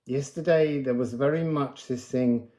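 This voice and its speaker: A man's voice: medium pitch, a little slow, dark tone, a little hiss or hum. A man giving an unscripted spiritual card reading to viewers, musing and wandering from thought to thought. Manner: musing, digressive, breaks into chanted phrases.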